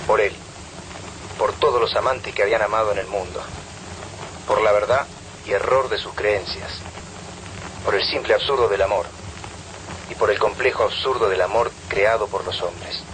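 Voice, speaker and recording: Low-voiced man reading literary prose. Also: steady tone, normal speed, pauses often, fairly bright tone, a little hiss or hum, rough recording.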